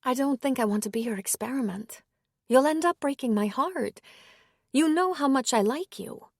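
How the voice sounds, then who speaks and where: A woman reading the part of a wary, flustered woman, her voice lifted higher and brighter than the narration, anxious yet teasing. The sound is dry and close. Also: lively intonation, moderate pace, dry recording. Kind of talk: audiobook character reading.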